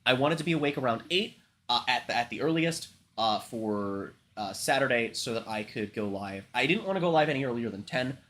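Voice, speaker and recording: A man's voice: mid-range voice, lively intonation, brisk, a bit bright. A man who is sick and congested, coughing now and then as he rambles through a casual livestream chat, swearing often and filling gaps with ums. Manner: rambling, frequent swearing and filler words. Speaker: livestream host.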